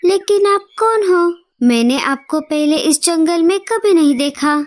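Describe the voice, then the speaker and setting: A high-voiced female crow from a cartoon tale, played by a woman, polite and earnest. She speaks slowly and deliberately, as a courteous newcomer to the forest. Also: lively intonation. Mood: curious, earnest. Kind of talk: Hindi cartoon story dialogue.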